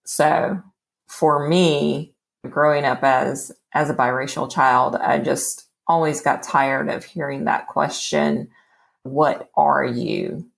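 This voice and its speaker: A woman in her forties from Texas speaks slowly and calmly. Her voice sits low, with a gravelly edge. She tells her story as a podcast guest, unhurried and thoughtful. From Texas, USA. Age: 40s. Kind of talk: relaxed storytelling.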